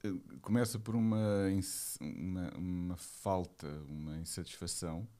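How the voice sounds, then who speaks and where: A man's voice: deep voice, steady tone, measured pace, mellow. A man in his forties with a Lisbon Portuguese accent, a monk giving a reflective, hesitant personal account as he searches for words. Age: 40s. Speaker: Buddhist monk, interview guest.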